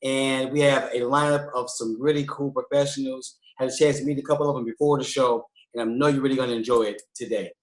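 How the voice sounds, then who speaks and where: A man's voice: medium pitch, steady tone, fast, hardly any pauses, reverberant, poor audio. A man guest-hosting a live online talk show, upbeat and loose, with many fillers. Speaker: guest host.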